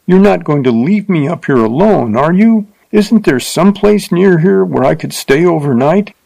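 A man's voice: mid-range voice, very animated, measured pace, gravelly, dark tone, no echo, full character voice. Man voicing a frightened young schoolteacher. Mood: pleading. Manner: pleading, faltering.

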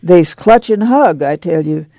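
A man's voice: on the high side, lively intonation, a little slow, mellow, no room sound. A man voicing a stocky, fanatically pious country woman in a story, with an emphatic, foreboding preaching manner. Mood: dark, scandalized.

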